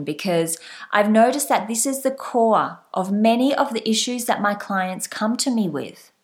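A woman with a light Australian accent hosting her own podcast as a leadership coach, speaking straight to the listener in an earnest, motivational way. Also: on the high side. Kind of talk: solo podcast monologue.

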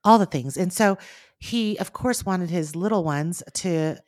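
Earnest animated woman co-host. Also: lowish voice, measured pace, no echo, studio quality. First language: Mandarin. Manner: earnest, animated, speeds up in bursts. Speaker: podcast co-host. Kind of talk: casual faith podcast chat.

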